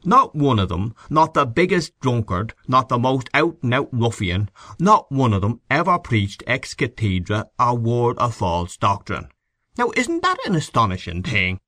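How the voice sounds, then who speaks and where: An authoritative, thick-set Irish gentleman acted by a male reader holds forth in a literary story. His raucous delivery swings widely and dramatically, in a close, dry recording. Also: wildly swooping pitch, unhurried, no echo, exaggerated voice. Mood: marvelling, emphatic. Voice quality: deep, raucous. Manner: emphatic, holding forth. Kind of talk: audiobook character acting.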